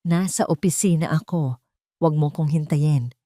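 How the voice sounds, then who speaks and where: A woman's voice: sits low, moderate pace, mellow, no echo, clean studio sound, lightly acted. Woman voicing a cold, vengeful, commanding man. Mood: cold, irritated. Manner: curt, commanding, contemptuous. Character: cold, vengeful, impatient businessman barking orders.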